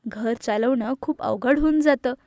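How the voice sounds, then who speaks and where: A woman reading scripted narration for an animated moral story. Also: a little high, unhurried, no echo.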